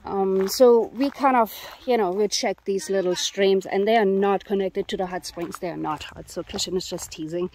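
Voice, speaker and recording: A woman's voice: mid-range voice, normal speed, few pauses, darkish tone, slight background noise, rough recording. A woman chatting casually as she narrates a family hike outdoors into a handheld camera, in an easygoing way. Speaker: family travel vlogger and mother. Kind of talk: casual narration while hiking. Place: outdoors on a wooded trail. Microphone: handheld camera, held by the speaker.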